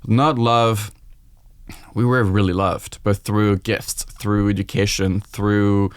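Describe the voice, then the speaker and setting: Low-voiced French man, reflective. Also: little pitch movement, slow pace, clear, resonant tone, no room sound, studio quality. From France. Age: 40s. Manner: reflective, open, quoting his own thoughts aloud. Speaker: guest chef. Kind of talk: candid podcast conversation.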